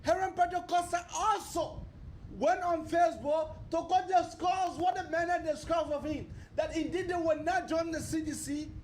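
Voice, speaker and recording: Very high-pitched man, halting and noisy. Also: fairly even intonation, a little mellow. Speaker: talk show host. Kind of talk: political discussion.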